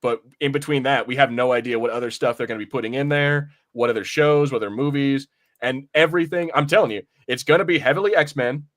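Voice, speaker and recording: A man co-hosting a casual film review podcast, rambling like an excited fan and swearing freely. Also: medium pitch, fast. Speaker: podcast co-host.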